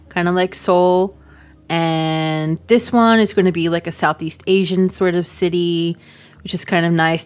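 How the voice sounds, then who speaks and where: A woman giving casual gaming commentary as a video host, chatty and enthusiastic, thinking aloud as she goes. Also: lowish voice. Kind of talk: casual game preview commentary.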